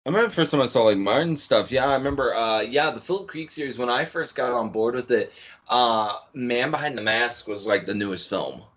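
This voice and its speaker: A young man reminiscing over a video call, halting with fillers and chuckling. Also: medium pitch, lively intonation, fairly bright tone. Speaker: fan film creator. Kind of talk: casual chat on a video call.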